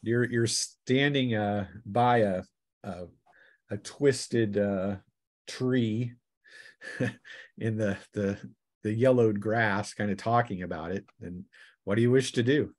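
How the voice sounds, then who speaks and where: A man running a tabletop roleplaying session as game master, narrating scenes in a halting, drawn-out way. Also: lowish voice.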